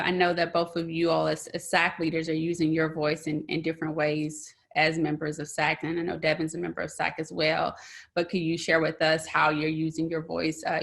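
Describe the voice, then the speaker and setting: Moderator: woman, low-ish voice with some vocal fry, measured and steady. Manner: measured, posing questions and thanking speakers. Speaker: roundtable moderator. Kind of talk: moderating a panel discussion.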